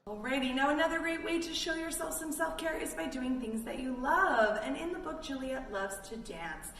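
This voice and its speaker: A woman's voice: high-pitched, lively intonation, moderate pace, fairly bright tone. Lady doing kids yoga storytime, encouraging, echoey room, bit of background noise. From Canada. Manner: encouraging, simple step-by-step cues for children. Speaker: children's yoga teacher reading a picture book. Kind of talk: children's read-along and yoga instruction. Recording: roomy echo, distant mic, background noise.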